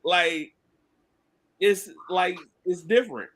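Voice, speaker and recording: A man in casual sports debate on a podcast, animated and slangy, cursing and laughing as he argues. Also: high-pitched, slow, poor audio. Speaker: podcast panelist.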